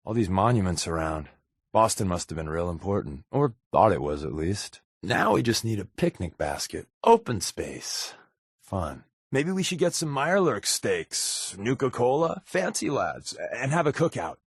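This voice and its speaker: A wry, secretly wounded undercover agent from a video game. His voice is a lowish man's, husky and recorded close and dry. He talks conversationally, with deadpan sarcasm. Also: moderate pace, no room sound, full character voice. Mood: wry, sarcastic. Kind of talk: video game companion dialogue.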